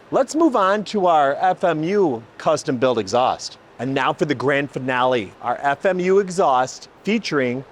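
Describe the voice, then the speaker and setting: A man hosting an automotive video, presenting and explaining in an emphatic, enthusiastic way. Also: fairly high pitch. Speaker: host, exhaust shop builder. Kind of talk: presenting car exhaust comparison, part scripted, part explanatory.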